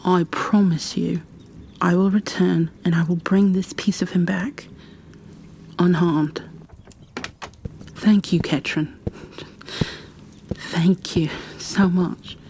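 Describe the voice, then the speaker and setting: A woman's voice: lowish voice, fairly even intonation, slow, pauses often, noisy background. A woman voicing a driven, pleading huntress on a crusade, insistent and earnest, in an ASMR roleplay. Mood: earnest, grateful.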